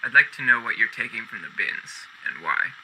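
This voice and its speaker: Stern boss, very bright forceful voice, low-pitched, curt formal delivery, old-time radio drama. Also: male voice, sing-song, fairly quick, a little hiss or hum, exaggerated voice, low-quality recording. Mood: stern, disapproving. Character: stern male boss warning an employee. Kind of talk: golden-age radio drama acting.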